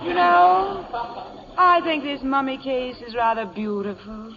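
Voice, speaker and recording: Through a muffled old radio recording comes a vain glamour actress. Her high voice is affected and gushing, every phrase self-dramatizing. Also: a little slow, gravelly, a crowd in the background, background noise. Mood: melodramatic. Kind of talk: 1940s radio comedy acting.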